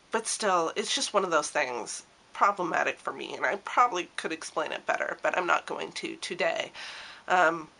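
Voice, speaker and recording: Bright-voiced woman with a cold. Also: lowish voice, poor audio. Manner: rambling, hesitant, many restarts and ums. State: stuffy with a cold. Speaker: vlogger. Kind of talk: casual talking-to-camera vlog.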